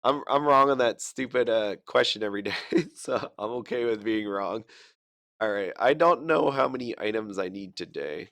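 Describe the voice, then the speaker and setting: Rambling low-voiced man with a cough. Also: lively intonation, moderate pace, gravelly, fairly bright tone, no echo. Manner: rambling, self-deprecating, many fillers and restarts. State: sick, fighting a cough. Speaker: gaming channel host. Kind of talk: casual gameplay commentary.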